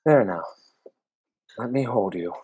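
A man acting an elderly husband in a radio play, gentle, protective and fearful, with a husky voice. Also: lowish voice, animated, unhurried. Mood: tender, pleading. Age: older.

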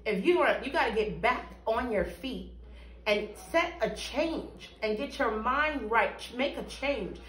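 A woman's voice: fairly high pitch, animated, unhurried, fairly bright tone. Earnest woman testifying in an echoey room. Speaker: church member giving a testimony. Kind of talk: spoken testimony in an interview. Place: small indoor room with bare walls, seated. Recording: echoey room, mic at a distance.

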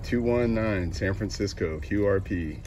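Low-voiced American CB operator, heavy noise. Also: male voice, a little slow. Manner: clipped, repeats call signs. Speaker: CB radio operator. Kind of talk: casual radio call-outs.

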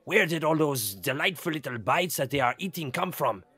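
A man's voice: fairly high pitch, animated, moderate pace, sharp tone, breathy edge, no room sound, heavily acted. An actor playing a pompous, indignant male head chef in a children's audio drama. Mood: stern, indignant. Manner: indignant, imperious.

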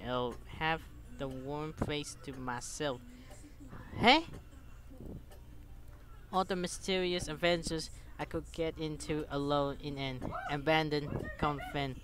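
A gaming streamer, giving exclamatory, halting English commentary and reading game text aloud. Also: medium pitch, steady tone, fairly bright tone. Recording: clear background noise and clicks.

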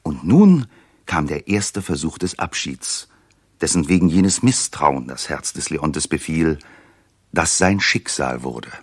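A solemn storyteller in a 1960s German radio play. His voice is extremely low and husky. The sound is dry and close. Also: expressive, slow pace, no echo, full character voice. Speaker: narrator. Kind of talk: scripted narration in a 1960s German radio drama.

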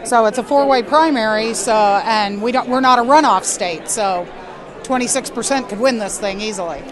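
A woman interviewer asks brisk, friendly questions in a slightly high voice. She is on the floor of a large indoor convention hall. Loud crowd chatter fills the space around her. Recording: busy crowd noise behind.

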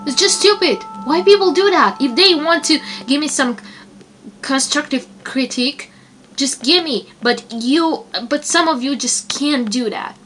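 A woman's voice: sits high, a little slow, fairly bright tone, slight background noise. A young woman answers viewer comments in a casual, rambling vlog, sounding exasperated and full of fillers. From Romania. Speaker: toy video maker answering comments.

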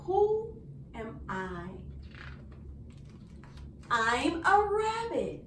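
A young woman reading a picture book aloud to young children in an animated, sing-song way with deliberate phrasing. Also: high-pitched. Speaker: children's storyteller. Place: small indoor room. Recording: roomy, distant mic, faint noise.

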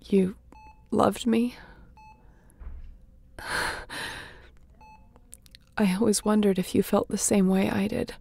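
A woman playing a battle-worn, tender and wry spaceship captain in an intimate audio roleplay, speaking softly with sighs and a breathy edge. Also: mid-range voice, fairly even intonation, slow pace, long pauses, full character voice. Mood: tender, confessional. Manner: soft, sighing, emotionally open.

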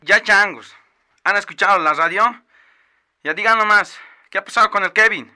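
A man's voice: high voice, normal speed, sharp tone. A man playing an earnest, frightened teenage boy with a Bolivian accent, pleading with a gang. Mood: nervous, insistent.

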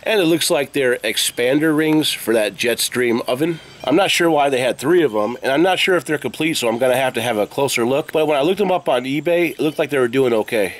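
Man, forties, American accent, medium pitch, conversational, matter-of-fact, casual vlog delivery. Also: a little fast, few pauses. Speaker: reseller vlogger showing garage sale finds. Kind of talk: casual vlog talk to camera. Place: open garage doorway, outdoors. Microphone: camera at arm's length.

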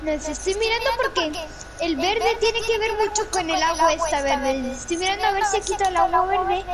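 Very high-pitched voice, Spanish woman gaming. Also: normal speed, over a noisy crowd. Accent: Spain Spanish. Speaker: video game player. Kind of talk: casual gaming chat with a teammate. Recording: very noisy game voice chat.

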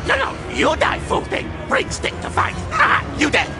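A shrill, savage rat creature shrieks in broken speech. The voice is extremely high and crackles with gravel, swinging wildly over loud battle-drama music. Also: male voice, huge pitch swings, normal speed, full character voice. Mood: frenzied, threatening. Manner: broken, crude phrasing. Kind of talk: audio drama acting.

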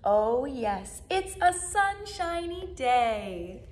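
A cheerful, doting storybook mother, put on by a woman reader. She reassures her little boy in a high, sing-song voice. Her pitch rises and falls widely as she stretches out her words slowly. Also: very animated, a little hiss or hum, full character voice.

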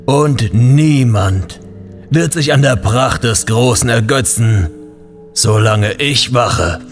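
Guy doing an ancient tomb guardian, super solemn and pompous, talks slow, radio play with music under it. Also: mid-range voice, close to an everyday voice. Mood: solemn, menacing. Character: ancient, grandiose male guardian of a king's tomb. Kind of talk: audio drama acting.

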